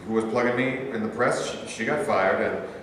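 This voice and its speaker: A man reading as a bitter former boxer, defensive and quick to flare up. The sound is roomy, with a long echo from a bare studio and faint noise behind. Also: fairly low pitch, a bit bright, lightly acted. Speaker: actor in a staged reading. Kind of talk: staged play reading. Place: large rehearsal studio. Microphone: far camera. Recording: roomy echo from a far camera.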